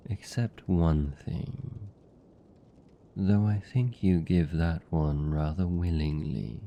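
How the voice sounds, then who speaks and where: A British man playing a seductive, possessive vampire captor in an intimate fantasy ASMR roleplay, teasing and doting in a dark, gravelly murmur. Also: deep voice, exaggerated voice. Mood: coaxing. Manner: slow, teasing, velvety endearments.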